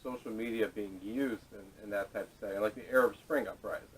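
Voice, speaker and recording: A man in a casual podcast discussion, explaining in a measured way, picked up by a distant camera microphone. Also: lowish voice, steady tone, slight background noise. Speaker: podcast host. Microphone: camera audio, away from speaker.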